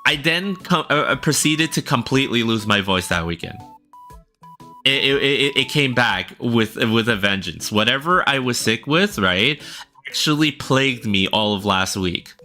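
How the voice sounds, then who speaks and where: Rambling male streamer, bright voice. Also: mid-range voice, animated, normal speed. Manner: rambling, talks directly to viewers with frequent "right?". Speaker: livestreamer and illustrator. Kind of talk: casual livestream chat. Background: faint background music.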